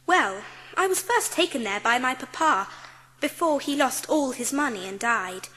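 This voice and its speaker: A woman playing a gentle, well-spoken young schoolgirl with a British accent and a light, youthful voice in an audiobook drama. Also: high voice, expressive, moderate pace, big-room sound, full character voice. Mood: polite, earnest. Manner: well-spoken, sweet, earnest. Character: gentle seven-year-old schoolgirl, tender, polite, fond of animals.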